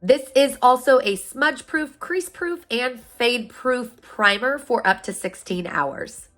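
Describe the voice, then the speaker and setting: A woman in her thirties, a beauty vlogger, sounding hoarse and stuffed up from a sinus infection while chatting upbeat straight to her viewers about products. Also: a little high, a little slow, a bit bright, soft background music. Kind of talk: product unboxing review.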